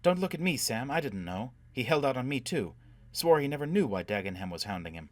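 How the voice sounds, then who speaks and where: Guy doing a stubborn fiery woman from a pulp space story, voice pushed high, really urgent. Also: very animated, a little fast, a little mellow, husky, no room sound. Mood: furious. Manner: urgent, vehement delivery. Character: fierce, stubborn, fiery young woman in a pulp sci-fi adventure.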